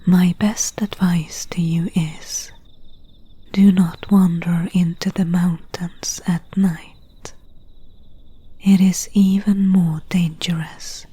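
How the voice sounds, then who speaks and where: A woman playing a gentle, solemn friend in an ASMR roleplay, speaking in a breathy, hushed whisper with deliberate pauses. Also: fairly low pitch, little pitch movement, exaggerated voice. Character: gentle, solemn friend warning of night spirits.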